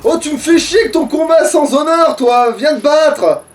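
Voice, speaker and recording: A man's voice: very high-pitched, lively intonation, moderate pace, soft background music. A man chatting casually as he plays a game, grumbling and swearing freely. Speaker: let's player. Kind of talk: casual gameplay chat with a co-player. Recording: distant mic with background noise.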